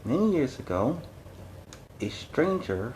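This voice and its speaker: Man, mid-pitched voice, children's storyteller, chatty and halting, casual asides, faint background noise. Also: big pitch swings, darkish tone, poor audio. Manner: halting, informal, chatty with listeners. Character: friendly costumed superhero storyteller. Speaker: children's story-time host.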